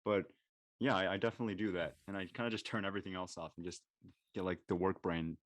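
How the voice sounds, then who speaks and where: A man's voice: low voice, steady tone, quick pace, dry recording. A man speaking casually and informally in a conversation. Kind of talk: casual chat.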